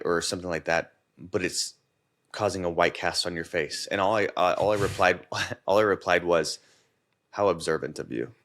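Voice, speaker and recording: A man speaking as a podcast guest in casual conversation, relaxed and candid. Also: low-pitched. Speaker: podcast guest, content creator.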